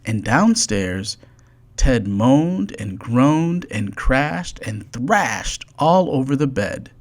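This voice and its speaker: Slow, expressive storybook narrator. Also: medium pitch. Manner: slow, deliberate, expressive read-aloud. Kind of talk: scripted children's storybook narration.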